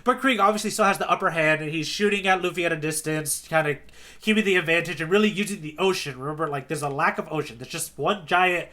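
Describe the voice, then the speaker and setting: Brief: podcast host, man, slightly high voice, bright tone, lively rambling recap. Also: fast talker. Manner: animated storyteller, many fillers and restarts. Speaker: podcast host, tour guide. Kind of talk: casual chat, recapping a comic chapter.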